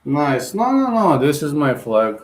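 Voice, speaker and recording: A man's voice: a little high, very animated, unhurried. Blunt man in a roomy echo. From Bulgaria. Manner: direct, blunt, addresses viewers as guys, non-native phrasing. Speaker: livestream host. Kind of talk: casual livestream chat, reading and answering viewer comments.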